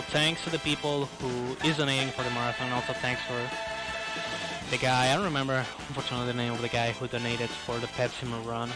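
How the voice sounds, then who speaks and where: Man commentating over loud game music. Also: medium pitch, low-quality recording. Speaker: speedrunner playing live for a marathon. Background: loud video game music.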